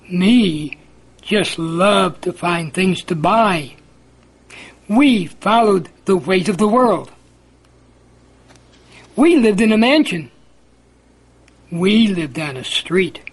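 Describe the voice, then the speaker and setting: A man reciting a religious poem in a measured, emphatic, sing-song way. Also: sits high, slightly rough. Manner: measured, emphatic, sing-song alternation between contrasting lines.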